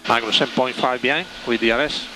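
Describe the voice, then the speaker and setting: A man's voice: medium pitch, fairly even intonation, brisk, over music. A man working as a race engineer, giving clipped updates over team radio and repeating key information. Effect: team radio transmission. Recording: noisy radio link, car noise. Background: racing car and vehicle noise.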